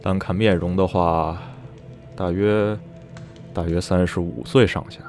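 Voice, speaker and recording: A man playing an uneasy survivor of a sinister town, recounting dreadful memories in measured, literary Mandarin for a radio drama. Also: low voice, fairly even intonation, darkish tone. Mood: grave, disgusted. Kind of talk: radio drama acting, narrating in interview. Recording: music behind the voice.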